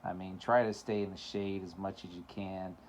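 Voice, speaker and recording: A man's voice: low-pitched, little pitch movement, normal speed, slight background noise. A middle-aged man hosting his own show, talking in a rambling, casual monologue full of fillers and laughs, his voice cracking at times. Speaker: show host.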